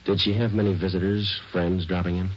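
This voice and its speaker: A man acting as a calm, businesslike police detective who narrates his case in a terse 1940s radio drama style. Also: low-pitched, a little fast. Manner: clipped, terse, matter-of-fact. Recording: muffled 1940s radio recording, music.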